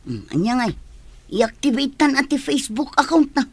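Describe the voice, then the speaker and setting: An insistent, scheming woman voiced by an actress in a radio drama. Her voice sits high as she presses her point. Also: very animated, moderate pace, husky, heavily acted. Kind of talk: Ilocano radio drama acting.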